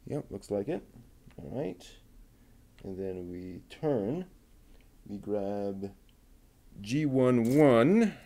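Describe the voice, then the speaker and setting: A man talking himself through assembling a model kit, muttering and pausing between steps. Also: fairly low pitch, warm tone. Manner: slow, halting, with many pauses. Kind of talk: casual build narration.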